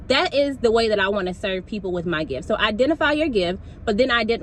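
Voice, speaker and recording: Woman, casual motivational vlogger, phone mic, faint vehicle hum, middle pitch. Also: a bit bright. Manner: casual, preachy, encouraging, lots of "like" and "y'all". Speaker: wellness vlogger. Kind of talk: motivational talk to camera.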